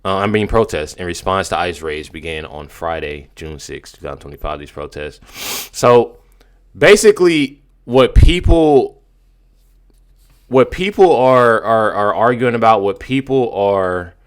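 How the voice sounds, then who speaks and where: American guy with a lowish voice, reacting casually, repeats himself a lot, slangy and emphatic. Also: expressive, clear, resonant tone. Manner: repetitive, halting, emphatic slangy speech. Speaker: reaction video host. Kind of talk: casual political commentary.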